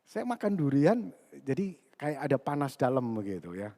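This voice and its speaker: A man delivers a sermon in a conversational manner. His pitch swings widely as he drives key words home by repeating them. The voice rings out with the long reverberation of a large hall. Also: big pitch swings, normal speed, dark tone. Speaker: preacher. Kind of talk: sermon addressed to a congregation, with personal anecdotes.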